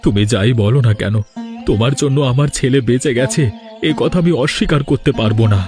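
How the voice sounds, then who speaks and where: A man's voice: medium pitch, expressive, fairly quick, natural voice. A man voicing an earnest, protective village father in a cartoon. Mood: grateful. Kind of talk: cartoon dialogue acting. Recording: faint music behind the voice.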